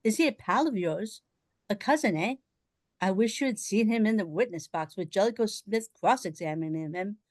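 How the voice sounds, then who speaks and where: A woman playing a breezy, teasing upper-class gentleman in a comic period radio play, jaunty and mocking with posh old-fashioned diction. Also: medium pitch, animated, normal speed, dry recording, lightly acted. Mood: gleeful mockery.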